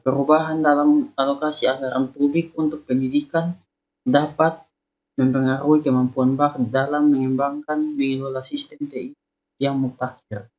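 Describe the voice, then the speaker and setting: A young man reading a student slide presentation in a halting way. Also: mid-range voice, darkish tone. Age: young adult. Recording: dull, lo-fi sound.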